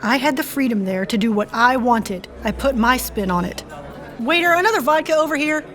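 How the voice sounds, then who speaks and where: A woman's voice: high voice, expressive, a little fast. A woman acting in an audio drama as a flippant, sardonic, hard-drinking club performer. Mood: defensive, wry. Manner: breezy, sardonic banter. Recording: loud club music behind the voice.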